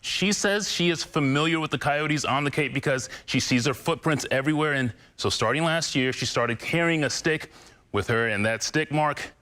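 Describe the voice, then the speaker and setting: A male TV news reporter with a bright, clear voice. He tells the story in an earnest, storytelling way and banters easily with the anchor. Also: a little high, normal speed. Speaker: field reporter.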